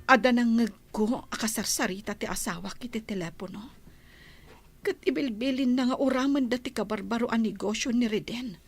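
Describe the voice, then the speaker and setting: An actress playing an anguished, fearful wife in an Ilocano radio drama. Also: female voice, a little high, moderate pace. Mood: troubled, pleading.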